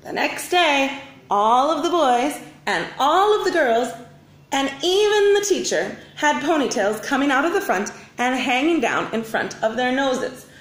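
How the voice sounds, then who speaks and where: A woman reading a children's storybook aloud like a teacher, with expressive, deliberate phrasing. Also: sits high. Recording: roomy, echoing indoor sound.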